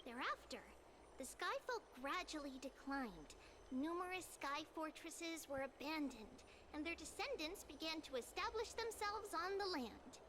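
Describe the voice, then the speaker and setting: A woman voicing a childlike, gentle and forgetful ancient girl in a video game. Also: high-pitched, expressive, measured pace, background noise. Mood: thoughtful. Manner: speaks of herself as we. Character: Lady Treby, childlike ancient female legend-keeper, gentle and forgetful. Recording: game music playing behind the voice.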